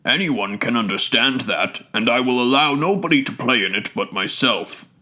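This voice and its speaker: Man putting on a gruff, selfish giant. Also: mid-range voice, normal speed, bright, forceful tone, lightly acted. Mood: gruff, possessive.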